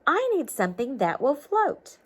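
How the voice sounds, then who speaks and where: A woman's voice: high-pitched, very animated, normal speed, voice pushed up. A woman voicing an earnest, easily discouraged young male bumblebee in a children's storybook, with plaintive exclamations. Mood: hopeful.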